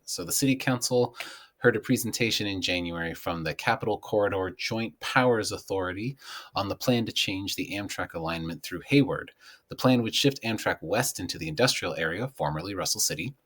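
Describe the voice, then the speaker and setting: A man's voice: on the low side, a little fast, a bit bright. An American man co-hosting a local news show, mostly reading out scripted headlines in a conversational setting.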